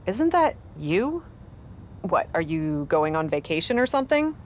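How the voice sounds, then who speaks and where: A woman's voice: on the low side, sing-song, a little slow, a little hiss or hum. A woman playing a cocky, sarcastic young pro wrestler in a video game cutscene.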